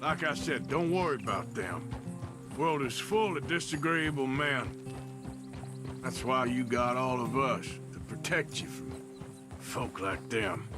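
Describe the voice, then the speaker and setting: A laconic, middle-aged American outlaw with a gruff drawl, voiced by a man. He speaks slowly, and his pitch rises and falls freely. Game score music plays behind him. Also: expressive, close to an everyday voice. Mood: gentle. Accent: American frontier drawl. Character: weary, wry middle-aged male outlaw. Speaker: outlaw protagonist. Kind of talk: video game cutscene acting.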